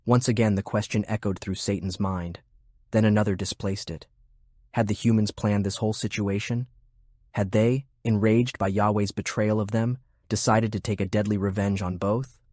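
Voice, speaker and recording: A man reading scripted fiction narration in a measured, deliberate way. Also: fairly low pitch, fairly even intonation, darkish tone, no echo, clean studio sound. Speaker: audiobook narrator. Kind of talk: scripted narration of a fantasy war novel.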